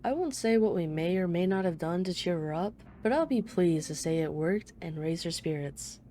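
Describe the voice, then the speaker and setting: Woman playing a low, mellow, teasing female mafia boss. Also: lively intonation, moderate pace, slight background noise, close to an everyday voice. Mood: smug, charming. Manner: playful, smug, flirtatious banter. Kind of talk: ASMR roleplay, one-sided dialogue.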